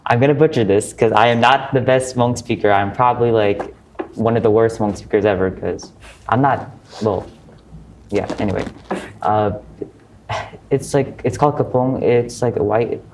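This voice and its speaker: A man's voice: mid-range voice, moderate pace, a little hiss or hum, rough recording. A young man in a casual student panel talk, hesitant and correcting himself often. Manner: hesitant, many fillers and self-corrections.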